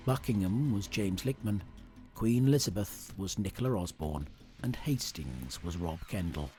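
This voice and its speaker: A man's voice: lowish voice, a little mellow. A man announcing the credits of an audio drama as scripted narration.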